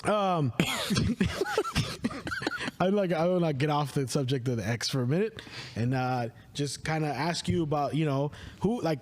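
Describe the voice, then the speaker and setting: A man's voice: fairly high pitch, expressive, moderate pace, long pauses, slight background noise. A man in his thirties hosting a podcast, chatting and asking questions in loose, slangy, sweary language. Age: 30s. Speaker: podcast host. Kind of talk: casual interview chat.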